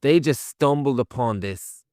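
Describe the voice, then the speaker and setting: A man's voice: medium pitch, measured pace, darkish tone, no room sound, studio quality. A man with an Irish accent, a podcast co-host giving a casual, conversational film review and thinking aloud as he goes. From Ireland.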